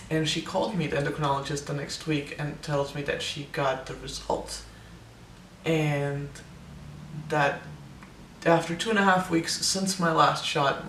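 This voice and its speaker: A man's voice: a little high, fairly bright tone, echoey room, distant mic, slight background noise. A young man giving a casual, hesitant personal update, full of ums and restarts, his voice sometimes cracking with air breaking through. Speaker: vlogger.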